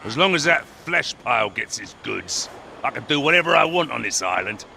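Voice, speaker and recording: An actor playing a brash, foul-mouthed pirate kingpin in a video game, boastful, crude and commanding. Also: male voice, medium pitch, sing-song, moderate pace, vocal fry, bright, forceful tone, a little hiss or hum, exaggerated voice. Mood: boastful, scheming. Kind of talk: video game character acting.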